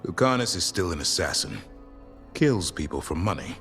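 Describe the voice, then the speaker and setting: Gruff game fighter, deep, terse and blunt, faint music behind. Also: male voice, sing-song, moderate pace, a bit bright, full character voice. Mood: disapproving. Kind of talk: video game voice acting.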